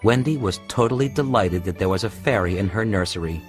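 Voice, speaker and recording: A man's voice: lowish voice, animated, brisk. A man narrating a scripted children's storybook tale. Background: music.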